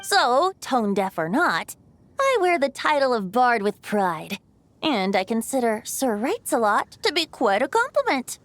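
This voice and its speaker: Playful young male bard, high light voice, lively swooping pitch, teasing, voiced by a woman. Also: unhurried, no room sound. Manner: airy, playful, theatrical.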